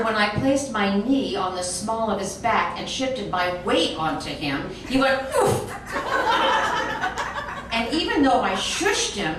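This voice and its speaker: A woman reading a comic short story aloud in an animated, expressive storytelling manner. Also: medium pitch. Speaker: reader. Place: echoey room, recorded from a distance. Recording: very echoey room, distant mic, noisy.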